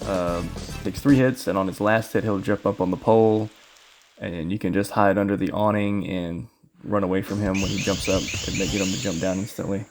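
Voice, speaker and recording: Low-voiced man doing casual game commentary. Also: fairly even intonation, brisk. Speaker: speedrunner commentator. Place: voice-over recorded over game footage. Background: game music.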